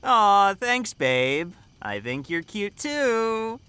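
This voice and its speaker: Someone playing a bright, laid-back college guy. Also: high-pitched, very animated, very slow, clear, resonant tone, full character voice. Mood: flirty, cheerful. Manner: easygoing, teasing. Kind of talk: audio drama acting.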